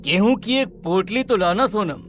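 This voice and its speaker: A man plays a self-assured village farmer in a cartoon moral tale. His voice is notably high, boastful and resolute, over soft background music. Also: expressive, normal speed. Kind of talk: animated moral story acting.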